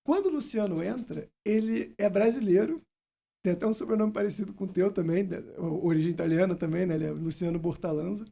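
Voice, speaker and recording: High, gravelly-voiced Brazilian man. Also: quick pace, warm tone. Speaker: podcast host. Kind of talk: casual conversational interview.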